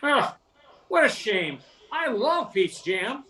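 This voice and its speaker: Older performer acting a mild busy husband, bright animated voice, radio comedy, off-mic. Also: mid-range voice, slow pace, heavily acted. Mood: mildly disappointed. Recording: distant from the microphone.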